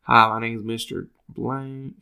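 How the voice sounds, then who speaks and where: A man's voice: medium pitch, little pitch movement, slow pace, vocal fry, bright, forceful tone, clear, resonant tone. A man co-hosting a podcast, telling anecdotes casually and plainly, often repeating words. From small town in the southern US. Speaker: podcast co-host. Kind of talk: casual storytelling chat.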